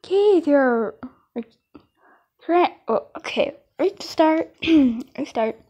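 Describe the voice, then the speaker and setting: A woman's voice: sits high, animated, takes it slowly, warm tone. A woman casually reading fan comics aloud with asides, stumbling and restarting lines as an amateur dubber.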